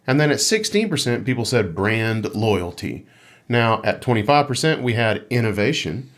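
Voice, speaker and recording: A man's voice: lowish voice, big pitch swings, moderate pace, fairly bright tone. Playful guy co-hosting a game podcast, cracking jokes, reading comments. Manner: joking, playful. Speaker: podcast co-host. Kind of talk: casual gaming podcast chat.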